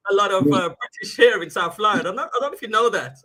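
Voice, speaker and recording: A woman's voice: medium pitch, animated, rapid-fire, a crowd in the background. A woman hosting a casual TV interview in an agreeable, chatty way. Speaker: TV interview host.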